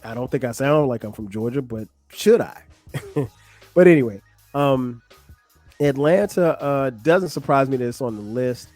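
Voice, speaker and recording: A middle-aged man from South Carolina talks through his own podcast in an easy, rambling way. He drops casual slang and fills pauses with ums. The mic is close and the sound is dry, with faint music behind him. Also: medium pitch, animated, a little mellow, dry recording. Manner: loose and chatty, lots of ums and slang. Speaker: podcast host. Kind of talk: casual solo podcast talk.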